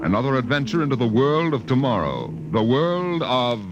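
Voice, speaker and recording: A man's voice: medium pitch, big pitch swings, normal speed, dull audio, heavy background noise. A man announcing a dramatic old-time radio trailer on a vintage recording.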